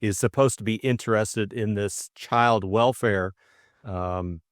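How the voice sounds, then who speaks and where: A man's voice: lowish voice, takes it slowly, no room sound, studio quality. A middle-aged man reading a classic novel and discussing it as a co-host. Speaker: audiobook reader and co-host. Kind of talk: storybook narration and casual book discussion.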